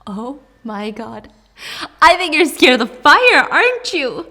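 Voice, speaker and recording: Woman acting out a shrill, volatile, cruel mother. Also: lively intonation, normal speed, fairly bright tone. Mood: taunting. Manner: foul-mouthed, taunting, explosive. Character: ranting, cruel, money-hungry alcoholic mother, volatile, mocking.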